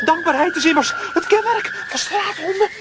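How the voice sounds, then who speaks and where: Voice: very high-pitched, moderate pace, heavy background noise. An actor voicing a loyal, injured male stray dog in a children's radio play. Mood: pained.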